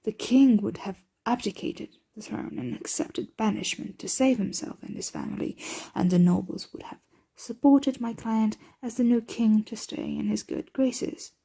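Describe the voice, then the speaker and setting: A woman playing a protective, doting yet anxious vampire mistress in an ASMR roleplay, breathy and often whispering, with formal, measured diction. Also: a little high, lively intonation, warm tone, full character voice. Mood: frustrated, weary.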